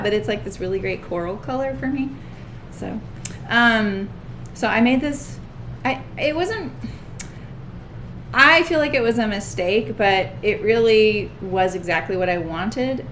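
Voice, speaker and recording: A woman's voice: fairly high pitch, fairly bright tone. A woman hosting a sewing livestream, chatting casually and greeting viewers by name, her voice a little scratchy. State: slightly scratchy voice.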